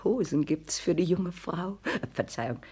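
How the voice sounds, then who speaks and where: An actress in a 1960s German radio play, playing a saucy, conspiratorial older spinster who meddles in matchmaking, teasing and confiding. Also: female voice, fairly low pitch, expressive, normal speed, a little mellow, breathy edge.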